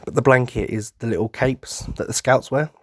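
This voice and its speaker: A man hosting a casual fan podcast, tired and losing his voice, husky, rambling with lots of ums and restarts. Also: medium pitch, steady tone, brisk, no room sound, studio quality. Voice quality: husky, throat-clearing. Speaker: podcast host.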